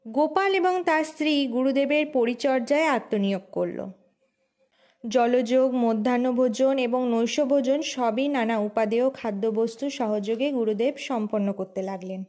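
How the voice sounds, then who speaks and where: High-pitched woman narrating a story. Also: normal speed, darkish tone. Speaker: storyteller and channel host.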